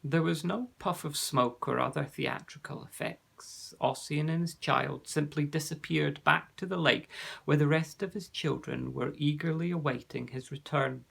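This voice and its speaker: Man reading a kids fantasy story, a bit of gravel in his voice, expressive. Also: on the high side. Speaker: narrator.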